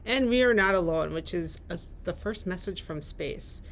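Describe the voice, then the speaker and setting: A woman's voice: fairly low pitch, animated, moderate pace, slight background noise. A woman, a librarian, reading book blurbs aloud and chatting casually about them. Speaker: librarian presenting book picks.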